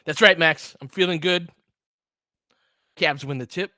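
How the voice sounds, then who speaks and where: A man's voice: fairly high pitch, unhurried, bright, forceful tone, dry recording, studio quality. A man giving casual, opinionated basketball play-by-play as a fan host, chatting conversationally with listeners between calls. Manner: conversational, opinionated, answers chat commenters by name.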